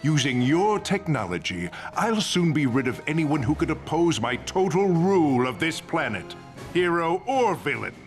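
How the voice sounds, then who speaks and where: A man voicing a scheming, arrogant billionaire supervillain in a video game, smug, deliberate and theatrical. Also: a little high, sing-song, soft background music. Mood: gloating. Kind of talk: animated video game acting.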